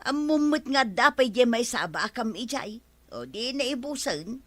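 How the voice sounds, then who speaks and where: A woman with an Ilocano accent playing a sharp-tongued, complaining village woman in a radio comedy drama. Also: fairly high pitch, sing-song, unhurried, heavily acted. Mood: reproachful.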